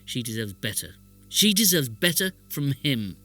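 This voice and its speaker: A man's voice: mid-range voice, sing-song, normal speed, sharp tone, faint music behind, full character voice. A man playing a resentful poor relation of a village lord in a podcast drama, speaking in an aggrieved, emphatic way. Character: bitter, resentful brother of a wealthy village lord. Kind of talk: podcast audio drama acting.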